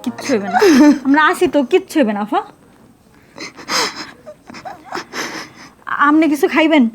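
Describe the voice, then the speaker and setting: A woman's voice: high voice, lively intonation, very fast, faint music behind. A woman playing an anxious, caring younger sister-in-law in a drama, urgent and coaxing, with a Barisal accent from southern Bangladesh. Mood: distressed reassurance. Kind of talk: emotional drama acting.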